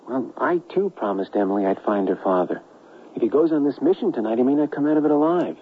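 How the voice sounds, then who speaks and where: An actor playing an earnest, brave reporter and loyal friend, emphatic and concerned, in old-fashioned radio drama style. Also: male voice, mid-range voice, animated, fast talker, breathy edge, narrow, tinny audio. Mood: urgent, resolute. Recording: band-limited radio broadcast.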